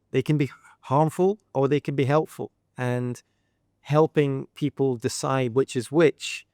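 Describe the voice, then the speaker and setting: A man's voice: medium pitch, clean tone, no room sound, studio quality. Reflective Scottish man, middle-aged. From Scotland. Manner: reflective, steady, uses sayings and examples. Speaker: guest, leadership coach. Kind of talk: measured podcast interview answers. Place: Dubai.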